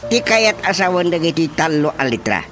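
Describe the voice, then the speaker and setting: Bossy Filipino village man, sharp voice. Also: on the high side, big pitch swings, a little fast, a little hiss or hum. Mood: scolding. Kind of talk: Ilocano radio drama comedy acting.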